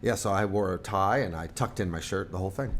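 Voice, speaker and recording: A man's voice: deep voice, fast, a little hiss or hum. A man from the United States, a guest on a casual podcast, talking in a rambling, self-deprecating way with lots of fillers and restarts. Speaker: guest, percussion company representative.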